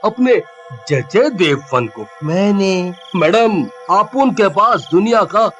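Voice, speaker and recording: A man's voice: sits high, expressive, slow pace, a bit bright, faint music behind, full character voice. A man playing a crafty young village fellow with a cheeky, wheedling manner in a cartoon story. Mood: glib. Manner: wheedling, teasing, glib.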